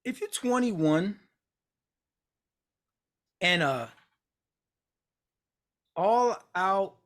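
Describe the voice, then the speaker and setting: Blunt, profane man with a higher voice. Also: very slow. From United States. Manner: blunt, profane, animated storytelling. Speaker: podcast co-host. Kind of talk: casual chat.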